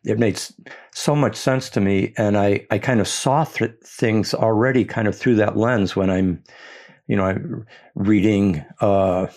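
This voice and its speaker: Older man, lowish pitch, halting, reflective, many fillers. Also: steady tone, darkish tone. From United States. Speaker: speaker on his intellectual path. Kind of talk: reflective personal talk.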